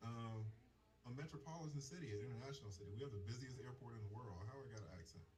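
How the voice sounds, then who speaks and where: A man's voice: medium pitch, very fast, darkish tone, rough recording. A man in his forties from Atlanta with a Southern accent, giving his opinion in a casual monologue full of rhetorical questions. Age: 40s. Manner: conversational, repeats himself, rhetorical questions. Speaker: commentator on a video clip.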